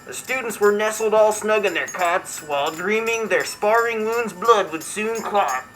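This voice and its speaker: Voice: mid-range voice, moderate pace, a bit bright, a little hiss or hum, close to an everyday voice, low-quality recording. A performer voicing a brash, crude martial arts buddy character, reading comic verse in a mock-dramatic, theatrical way. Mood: playful, storytelling. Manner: mock-dramatic storytelling, irreverent. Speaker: comedy show host character. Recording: music behind the voice.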